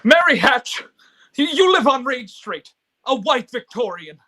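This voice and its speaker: Man playing emotional family man, stammering, high pitch, sharp bright tone, radio drama. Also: sing-song, a little slow, heavily acted. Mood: urgent, pleading. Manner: stammering, impassioned, breathless pleading. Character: frantic, despairing then overjoyed family man. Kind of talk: live radio play acting.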